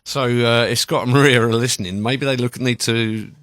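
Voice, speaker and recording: A man's voice: mid-range voice, steady tone, moderate pace, a bit bright, no echo. A man hosting a radio show in a studio, chatting casually in an enthusiastic, rambling way.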